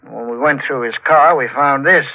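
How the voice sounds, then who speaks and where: An actor playing a folksy, unhurried state trooper in a 1940s radio drama style. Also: male voice, medium pitch, natural voice. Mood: folksy, reassuring. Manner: folksy, drawn-out questioning. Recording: tinny, narrow-band old radio broadcast.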